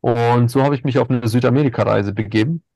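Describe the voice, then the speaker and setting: A man in his thirties, raised in Germany, chatting in a relaxed way as an interview guest, laughing and using many fillers. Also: mid-range voice, fast talker, dry recording. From Ukraine, raised in Germany. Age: 30s. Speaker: guest, cacao entrepreneur. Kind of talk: casual interview talk. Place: indoors at night, insects heard outside.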